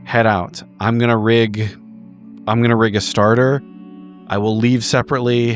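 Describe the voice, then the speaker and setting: A man with a mid-pitched voice improvises a roleplay scene. He speaks in halting, repeated bursts peppered with profanity, and music plays clearly underneath. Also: level tone. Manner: halting, repetitive, profanity-laced speech. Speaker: tabletop roleplay podcast player.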